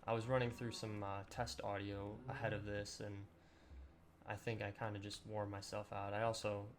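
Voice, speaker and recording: Young man, hoarse and low, rambling let's-play chat, noisy home mic. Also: normal speed. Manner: relaxed, rambling with many ums and throat clearing. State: slightly raspy, sore voice. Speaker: gaming channel host. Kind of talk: casual let's-play commentary.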